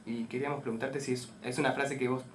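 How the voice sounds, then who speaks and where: A man with an Argentine accent, asking a prepared interview question in an informal, halting way. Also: medium pitch, little pitch movement. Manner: tentative, halting. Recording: noisy live room.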